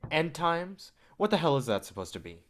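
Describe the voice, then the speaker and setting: A man's voice: a little high, very animated, normal speed, fairly bright tone. A man in a staged play reading, acting the part of a curt, domineering film company boss with a dismissive, profane manner.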